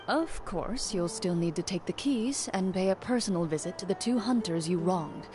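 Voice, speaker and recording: A woman playing a stoic young champion duelist and monster hunter in a video game, speaking in a measured, formal, restrained way. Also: fairly low pitch, animated, close to an everyday voice. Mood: composed. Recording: music behind the voice. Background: background music.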